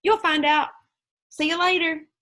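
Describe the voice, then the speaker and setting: Woman voicing an excitable, high-pitched cheeky schoolboy. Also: unhurried, full character voice. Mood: gleeful, sly. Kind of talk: children's book read-aloud.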